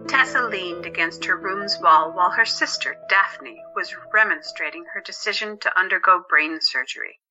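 A woman's voice: on the low side, pushed and bright, muffled recording. A woman reading scripted narration as the narrator of a drama. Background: music.

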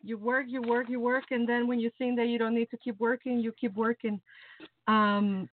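A woman's voice: a little high, moderate pace, a little hiss or hum. Talkative woman with a Mexican accent. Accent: Mexican Spanish. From Mexico City. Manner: lively, talkative, joking. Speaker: podcast guest. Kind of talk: casual interview talk. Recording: tinny phone-like line.

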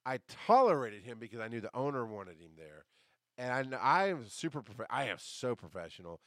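A man with a deep, bass-heavy voice, speaking at a middling pitch into a podcast microphone. He tells a story casually, swears freely and acts out other people's lines with animation. The sound is close and dry, with no room echo. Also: big pitch swings, a little fast. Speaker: podcast host.

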